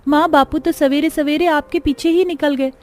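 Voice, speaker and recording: A woman's voice: sits high, fairly even intonation, normal speed, darkish tone, voice pushed up, natural voice. A woman voicing an anxious young daughter of a poor family in a cartoon, plaintive and childlike.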